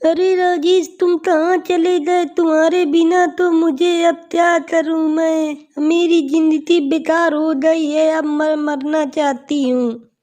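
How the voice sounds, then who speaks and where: A very high, mellow voice for a young village woman in love , acted by a woman. She is distraught and plaintive, pleading slowly as in a cartoon bedtime ghost story. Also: flat delivery. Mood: grieving. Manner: plaintive, pleading, drawn-out cries.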